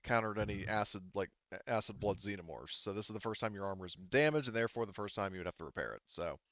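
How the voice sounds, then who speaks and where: A man with a low, smooth voice runs a tabletop role-playing game. He explains rules in a casual, halting way, often rewording himself. The sound is dry and slightly dull. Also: expressive, a bit bright, no echo. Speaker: game master.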